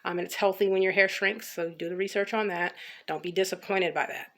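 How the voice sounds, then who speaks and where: A woman's voice: mid-range voice, steady tone, brisk, a bit bright. An American woman giving a casual, chatty hair care update, talking informally and rambling with some repetition. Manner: informal, rambling, repeats herself. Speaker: natural hair care vlogger.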